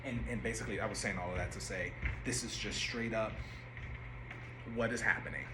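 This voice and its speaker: A man's voice: lowish voice, fairly even intonation, a little fast, loud music behind the voice. A man directing a rehearsal, giving notes in a loose, conversational way and often searching for words. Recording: roomy and noisy rehearsal room.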